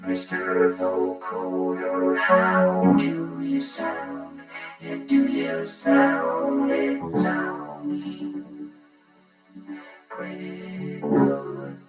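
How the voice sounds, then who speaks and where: A robotic vocoder voice, buzzy and synthetic, drawn out very slowly. Its pitch leaps widely like a singing machine, with loud synth chords around it. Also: male voice, exaggerated voice. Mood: robotic, playful. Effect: vocoder robot filter. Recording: dull band-limited sound, loud synth chords.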